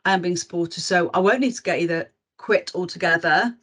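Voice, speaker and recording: A woman's voice: fairly low pitch, fairly quick, a bit bright, slightly rough. A woman leading a roundtable talk in a reflective way, often ending her points with tag questions. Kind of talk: casual panel discussion.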